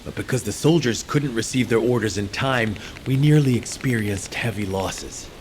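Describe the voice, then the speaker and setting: Male actor as earnest army field officer, fairly low voice, businesslike, game briefing. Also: normal speed, a little hiss or hum, lightly acted. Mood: businesslike, concerned. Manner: businesslike, matter-of-fact briefing. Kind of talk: scripted game dialogue.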